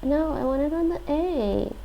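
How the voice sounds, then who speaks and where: A woman streamer thinking aloud as she works through a lesson, hesitant, with many fillers and restarts, relaxed and self-deprecating. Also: high-pitched, normal speed, muffled recording, slight background noise. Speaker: live streamer. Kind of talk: thinking aloud while doing a language app.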